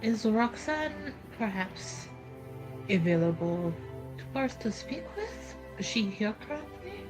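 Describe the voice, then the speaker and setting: Woman playing a slow-spoken, curious adventurer. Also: a little high, sing-song, low-quality recording. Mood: measured, thoughtful. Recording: faint music behind.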